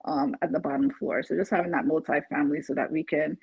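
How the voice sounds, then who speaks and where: Husky-voiced woman from Barbados, chatting casually. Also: low voice, very animated, quick pace, darkish tone, dry recording. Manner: chatty, laughs often while talking. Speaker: interviewed client, first-time home buyer. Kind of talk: casual testimonial interview. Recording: dull, muffled lo-fi audio.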